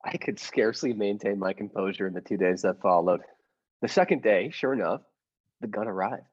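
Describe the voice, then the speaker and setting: An actor playing a timid, henpecked little husband in an old-time radio comedy, stammering and fussily polite. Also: male voice, fairly low pitch, fairly quick, husky. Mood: confiding. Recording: dull lo-fi audio with music behind.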